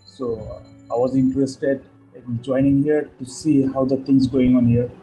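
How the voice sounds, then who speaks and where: A man's voice: medium pitch, flat delivery, normal speed, dark tone, breathy edge, soft background music. Muffled man from Bangladesh on a call. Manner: hesitant, casual. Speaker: webinar attendee, business founder. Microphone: video call.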